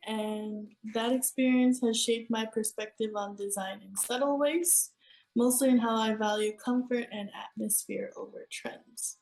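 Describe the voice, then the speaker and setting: A woman's voice: on the high side, fairly even intonation, unhurried, vocal fry, a little mellow, rough recording. A woman from Florida answering questions as a guest in a casual interview. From Florida, United States.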